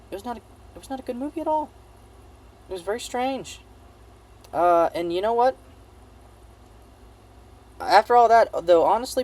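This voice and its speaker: A man giving a casual, comedic movie review in a rambling, halting way, repeating phrases for emphasis and swearing now and then. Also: fairly high pitch, a little mellow.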